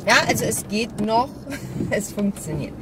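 A woman's voice: mid-range voice, lively intonation, measured pace. A woman chatting casually and candidly to her viewers as she drives, in a rambling vlog style. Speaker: vlogger. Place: inside a car while driving. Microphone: camera mounted in front, at a distance. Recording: car cabin, loud driving noise, distant mic.

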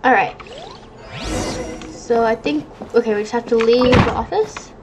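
A woman's voice: fairly high pitch, unhurried, over loud music. A woman giving casual let's play commentary, gushing and reacting aloud to the game. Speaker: gaming video host.

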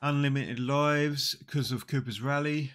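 Slow, nasal man muttering gameplay. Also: medium pitch, steady tone, a bit bright. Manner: slow, muttering play-by-play, often trailing off. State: nasal from a cold. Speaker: gaming channel host. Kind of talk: casual mobile game commentary. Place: small room indoors. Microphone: headset.

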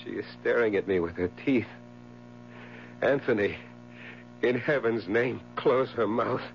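An actor playing a haunted, horror-stricken husband in a 1970s radio drama. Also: male voice, fairly low pitch, a little slow, vocal fry. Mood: horrified, pleading. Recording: dull 1970s radio recording with hum.

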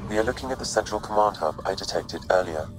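Low, impassive male AI played by an actor. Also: lightly acted, rough recording. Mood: impassive, formal. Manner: measured, precise, impassive. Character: calm, formal male artificial intelligence aboard a spacecraft. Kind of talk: scripted game dialogue.

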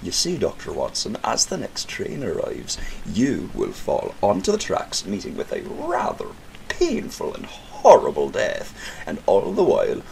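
A man's voice: deep voice, wildly swooping pitch, measured pace, gravelly, a little mellow, slight background noise, heavily acted, low-quality recording. A man playing a cold, gloating criminal mastermind in an audio drama, mocking, theatrical and condescending. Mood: gloating, menacing.

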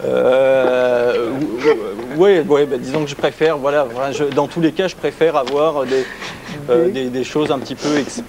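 A man in his thirties, a photographer guest on a TV studio set, talking haltingly with many fillers and restarts. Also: medium pitch, steady tone, background noise. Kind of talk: casual TV interview. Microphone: lapel mic.

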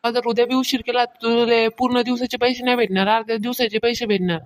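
Cartoon mistress of the house, played by a woman: higher-pitched, bossy, stingy, self-important, nagging in rustic Varhadi Marathi. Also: level tone, quick pace, natural voice. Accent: Varhadi Marathi, rural Maharashtra. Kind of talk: Marathi cartoon comedy skit.

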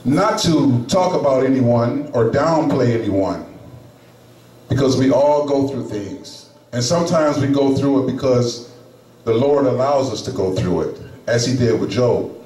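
Middle-aged male lay preacher, slow deliberate delivery, roomy chapel sound from a distant camera. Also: medium pitch, lively intonation, warm tone. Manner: slow, emphatic preaching with pauses. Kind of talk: sermon. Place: small chapel, indoors. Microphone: handheld microphone. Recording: echoey room, camera at a distance.

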